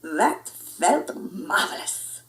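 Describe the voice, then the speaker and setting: A woman's voice: medium pitch, very animated, drawn-out words, far from the mic. A woman voicing the leader of a band of little chihuahuas, theatrical and peppering the talk with Spanish. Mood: expansive. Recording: roomy indoor echo.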